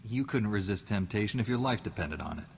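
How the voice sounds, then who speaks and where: An actor playing a stern knight in a video game, answering with curt, dry comebacks. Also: male voice, fairly low pitch, lively intonation, quick pace, vocal fry, in a large hall, a little hiss or hum. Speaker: player character in a video game. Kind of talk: video game character dialogue.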